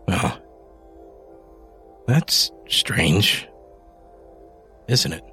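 A man playing a patient, gentle husband. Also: low-pitched, big pitch swings, drawn-out words, soft background music, full character voice. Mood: calm.

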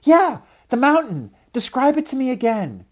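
A man's voice: very high-pitched, huge pitch swings, normal speed, pitched above the performer's own voice, exaggerated voice. A man voicing an eager, dreamy little girl seed in a children's story, pleading and full of questions. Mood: curious, pleading. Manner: pleading, wide-eyed, inquisitive.

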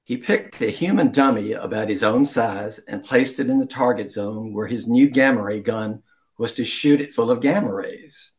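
A man reading a children's story aloud as an amateur storyteller, deliberately, with some stumbles and throat-clearing. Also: mid-range voice.

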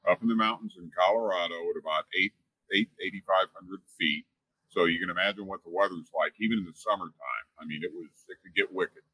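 A man with a gravelly, raspy voice chatting casually as a guest in a folksy, wry way. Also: deep voice, fast talker, sharp tone, no echo, poor audio. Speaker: radio show co-host as guest. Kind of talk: casual chat.

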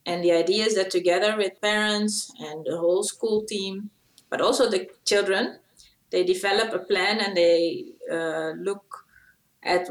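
A middle-aged woman, a native Dutch speaker with a Dutch accent, giving earnest, explanatory interview answers with hesitant pauses. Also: medium pitch. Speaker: interview guest, co-founder of a school language programme. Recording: echoey room sound.

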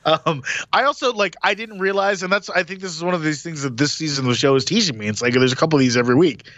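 Man, slightly high voice, easygoing, hesitant, dull lo-fi dry mic. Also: quick pace, a bit bright, no echo. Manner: easygoing, hesitant, many fillers. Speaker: podcast co-host. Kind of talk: casual chat.

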